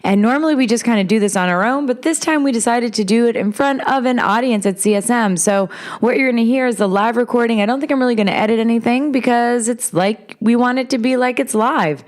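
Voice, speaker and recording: A woman hosting a podcast in a casual, chatty way, her voice raspy and hoarse from talking too much. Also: on the high side, fast. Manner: chatty, relaxed, rambling. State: hoarse, talked out. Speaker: podcast host.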